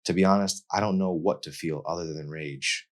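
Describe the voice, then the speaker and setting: A man's voice: low-pitched, normal speed, close to an everyday voice. A man voicing a guilt-ridden, earnest, defensive teenage boy in an audiobook. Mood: heavy, confessional.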